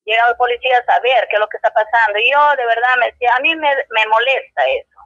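A woman's voice: on the high side, fairly even intonation, fast, a bit bright, dry recording. Brief: Peruvian Spanish woman shopkeeper, indignant and rambling, dull dry lo-fi recording. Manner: rambling, indignant, with many restarts. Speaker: local shop owner interviewed. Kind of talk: street interview.

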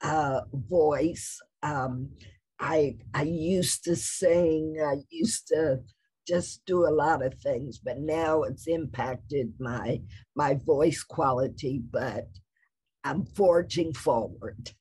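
Middle-aged woman presenter, raspy, deliberate slow delivery, video call. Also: deep voice, dark tone. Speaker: conference presenter. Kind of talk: Q&A after a talk. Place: office.

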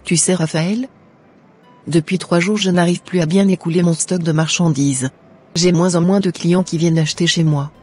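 Man acting as young cartoon woman, earnest, measured, husky, slightly high, music underneath. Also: fairly even intonation, a little mellow. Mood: earnest, polite. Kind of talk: scripted cartoon dialogue.

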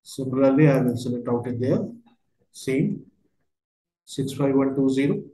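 A man working through a step-by-step software tutorial as a trainer, speaking in halting, fragmented phrases. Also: medium pitch. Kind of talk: step-by-step screen tutorial narration. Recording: roomy, echoey voice recording.

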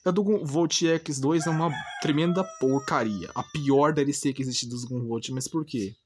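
A man's voice: medium pitch, moderate pace, background noise. A man with a Brazilian Portuguese accent gives an informal, blunt rant, exasperated and repeating himself with rhetorical questions. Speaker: gaming channel host.

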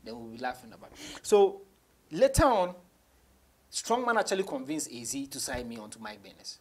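A man's voice: mid-range voice, very animated, moderate pace. A young man with a Ghanaian accent, a rapper chatting as an interview guest, telling stories with repetition for emphasis and switching between languages. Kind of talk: casual interview chat, mixing English and Twi. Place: small indoor radio studio.

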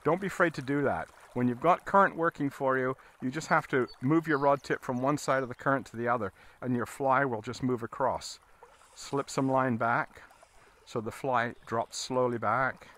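A man giving a casual, halting fly fishing tutorial as an instructor, talking while he works outdoors. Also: medium pitch, a bit bright. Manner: halting, explaining as he casts. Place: outdoors, standing in a river. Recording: outdoor recording with water noise. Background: running water.

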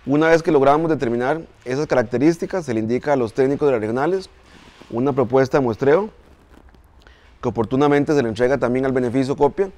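Picture a Costa Rican engineer in his forties, talking through a step-by-step technical procedure. His delivery is measured and methodical, at an everyday middle pitch. He speaks inside a large warehouse of a coffee mill. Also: a little mellow. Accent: Costa Rican Spanish. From Costa Rica. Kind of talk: explanatory interview.

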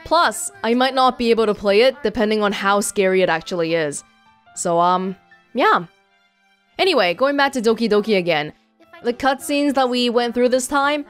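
A woman giving casual commentary as the host of a video game let's play. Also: fairly high pitch.

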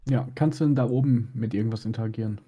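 A man's voice: mid-range voice, quick pace, warm tone, breathy edge, lo-fi sound. A man co-streaming an adventure game, casually giving the player advice. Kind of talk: casual chat while gaming.

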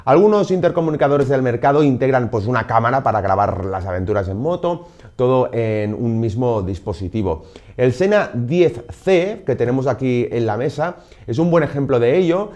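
Lively man presenting gear. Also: medium pitch, normal speed, highs cut off. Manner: lively, emphatic presenting with frequent "pues" and "eh" fillers. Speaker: product presenter for a motorcycle gear shop. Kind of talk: scripted product explainer to camera. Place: indoor studio set behind a desk.

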